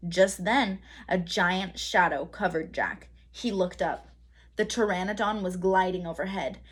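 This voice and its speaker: A woman reading a children's story aloud as a teacher, with expressive, dramatic emphasis. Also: fairly low pitch, breathy edge. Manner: dramatic emphasis, pauses to quiz listeners.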